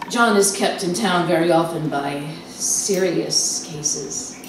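An actress performing a theatrical monologue as a lonely, nervous, confined wife slipping into obsessive madness, halting and dramatic with drawn-out words. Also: female voice, lowish voice. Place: live performance in a cafe venue. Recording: very echoey room, distant mic, noisy.

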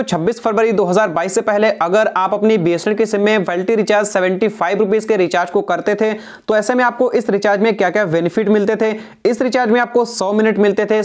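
Young man explaining on a lo-fi lapel mic. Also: sits high. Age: young adult. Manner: repetitive, keeps saying "friends", steady explanatory delivery. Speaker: tech channel host. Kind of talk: explaining phone recharge plans to camera. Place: small indoor room, red backdrop. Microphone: clip-on lapel mic.

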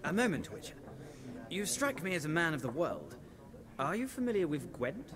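Very animated, snobbish card player. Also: male voice, fairly high pitch, normal speed, a crowd in the background. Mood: coaxing. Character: eager, snobbish card-playing gentleman. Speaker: game character actor. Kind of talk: video game dialogue. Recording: game ambience behind the voice.

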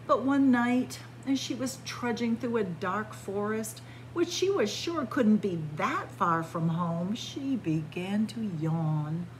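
Expressive, lively woman reading a picture book. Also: fairly high pitch, a little hiss or hum, low-quality recording. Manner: expressive storytelling with deliberate pauses. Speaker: library story time reader. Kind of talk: read-aloud picture book narration. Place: indoors in a library, among bookshelves.

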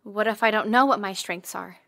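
An actress playing a stubborn, self-doubting young starship ensign in an audio drama, with a casual, blunt, questioning way of talking. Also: female voice, medium pitch, fairly quick, creaky, pushed and bright, dry recording, studio quality. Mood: doubtful.

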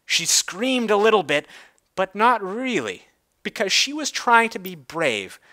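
Male narrator, bright and forceful, highly varied pitch, mock-dramatic storybook delivery.

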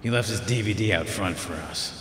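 A man playing a gruff, frustrated police commissioner in an audio drama, with echoey sound. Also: lowish voice, fairly quick, noisy background, close to an everyday voice. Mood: tense, frustrated.

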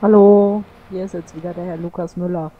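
A man's voice: high-pitched, steady tone, fairly quick, phone-quality sound, over music. Hesitant man on voice chat. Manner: hesitant, fumbling for words. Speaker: roleplay player as farm worker. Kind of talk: roleplay over voice chat.

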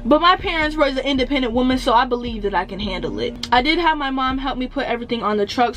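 A young woman, hoarse from losing her voice, chatting animatedly to a handheld camera in an empty apartment. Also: high voice. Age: young adult. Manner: animated, exclaims and repeats words for emphasis. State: hoarse, lost voice. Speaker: vlogger. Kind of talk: casual vlog chat to camera. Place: empty apartment bedroom, indoors. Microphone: handheld camera at arm's length.

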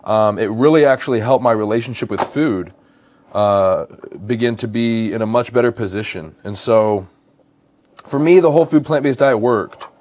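A man's voice: medium pitch, moderate pace, darkish tone. American male, 30s, lifestyle vlogger. Conversational, mid-range voice, repeats phrases to stress them. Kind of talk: casual vlog chat while cooking. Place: home kitchen, indoors.

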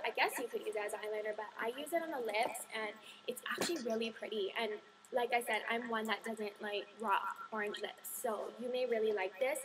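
A woman's voice: on the high side, slight background noise. Bubbly young woman chatting, a bit distant. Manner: chatty, bubbly, many fillers. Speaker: beauty vlogger. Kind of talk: casual haul video chat.

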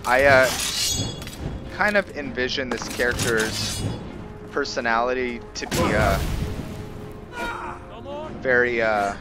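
A man giving casual gameplay commentary as host, thinking aloud in a halting way. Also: mid-range voice, over loud music. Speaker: gaming video host.

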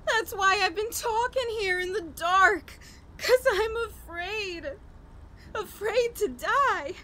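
An actress playing a frantic, haunted woman on the edge of madness in a horror radio play, halting and overwrought. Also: very high voice, pushed and bright, heavily acted. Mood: desperate, afraid. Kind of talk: 1940s radio horror acting, dramatic monologue.

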